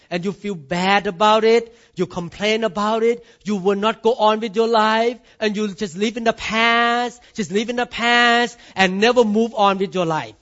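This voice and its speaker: High-voiced Thai man preaching emphatically. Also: fairly bright tone, muffled recording. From Thailand. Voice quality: non-native grammar. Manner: emphatic preaching, voice rising and falling, calls of amen and hallelujah. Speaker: pastor. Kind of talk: sermon.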